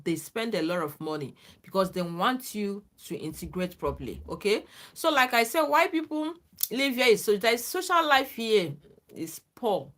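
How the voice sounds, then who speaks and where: A woman hosting a live stream speaks in earnest, casual advice to her viewers. She is not a native English speaker, with a voice of ordinary pitch for a woman, and often checks in with a questioning "okay?". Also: animated, moderate pace, fairly bright tone. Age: adult, former lecturer. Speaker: livestream host.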